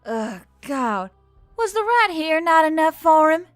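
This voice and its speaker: A woman performs a grumpy female biker in an audio story. She sounds defiant and snarky, her pitch jumping with exasperation. Music plays faintly underneath. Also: very animated, unhurried, no room sound, clean studio sound. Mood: exasperated.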